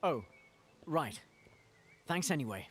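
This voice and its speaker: An actor playing an eager, curious young adventurer in a fantasy video game, casual and inquisitive. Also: male voice, a little high, lively intonation, takes it slowly. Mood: friendly. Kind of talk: video game cutscene acting.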